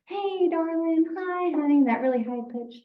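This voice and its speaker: Loud, chatty woman teaching in an echoey room. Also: moderate pace. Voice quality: lower voice. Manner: loud, chatty, laughs often. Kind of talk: informal workshop talk. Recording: echoey room, distant mic, dull sound.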